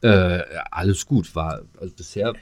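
A middle-aged man talks in a low register, recorded dry and close. He chats like a podcast co-host, rambling along in colloquial, matey fashion. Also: moderate pace, no echo. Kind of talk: casual chat.

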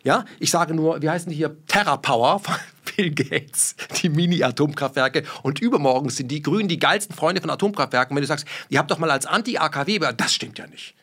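A man's voice: on the high side, fairly quick, fairly bright tone. A man from Germany, talking in a heated conversation with emphatic rhetorical questions and blunt swearing.

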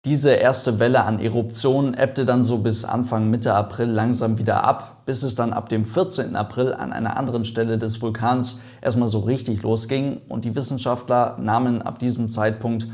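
A man's voice: mid-range voice, steady tone, moderate pace, hardly any pauses, darkish tone. A man hosting an online aviation video, explaining events in a chatty, lightly joking way. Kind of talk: casual explainer narration.

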